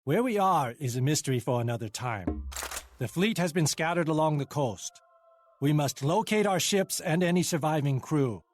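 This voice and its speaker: A man's voice: fairly high pitch, expressive, normal speed, soft background music, close to an everyday voice. A man playing a stern, honourable Chinese fleet captain in a video game cutscene, speaking in a formal, grave, commanding way. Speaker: game character, military leader.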